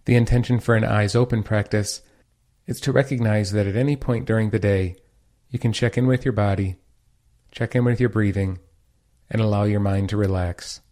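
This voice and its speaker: A man leading a guided meditation as teacher, speaking in a calm, soothing, unhurried manner. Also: lowish voice.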